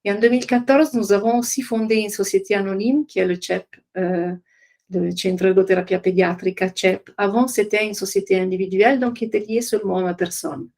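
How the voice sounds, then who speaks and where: Middle-aged lady w/ presenting her work, medium pitch, kinda hesitant, hunting for words. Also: fairly even intonation, fairly quick, darkish tone. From Italian-speaking Switzerland. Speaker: occupational therapist, trainer and business founder presenting. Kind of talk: informal web conference presentation.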